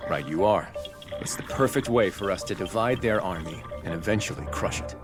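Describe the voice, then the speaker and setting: Confident fantasy commander, acted by a man: low, courteous, faint music underneath. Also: fairly quick, close to an everyday voice. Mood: courteous, commanding. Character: courteous, confident male warrior leader of forest army. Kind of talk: video game cutscene acting.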